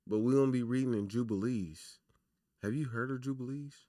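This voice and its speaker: A man hosting a casual livestream, talking loosely and chattily to his viewers with a naturally raspy voice. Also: mid-range voice, fairly quick, dark tone, dry recording, clean studio sound. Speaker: livestream host.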